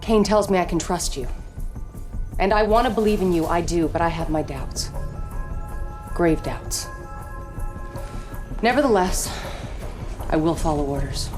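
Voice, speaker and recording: An actress playing a fervent, suspicious, fiercely loyal military officer in a video game cutscene, with intense, clipped, theatrical delivery. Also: female voice, on the low side, measured pace, long pauses, close to an everyday voice. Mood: doubtful, grave. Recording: loud music under the voice.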